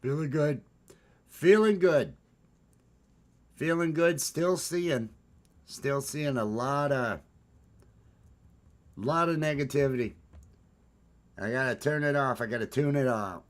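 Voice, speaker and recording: A man with a baritone voice hosts a casual morning chat, rambling with restarts and fillers. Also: slow, long pauses. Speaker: host.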